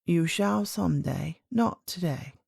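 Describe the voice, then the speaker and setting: Ancient storybook grandmother queen, played by a woman: low, unhurried, gentle, enigmatic, softly creaky. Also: no room sound, studio quality, full character voice. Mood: calm, mysterious. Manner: calm, measured, kindly, enigmatic. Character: very old, gentle, mysterious great-great-grandmother queen. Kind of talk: storybook character voice.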